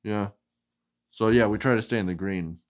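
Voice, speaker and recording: A woman reading a storybook aloud to a young child in an expressive, sing-song way. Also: extremely low pitch, moderate pace. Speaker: storybook reader.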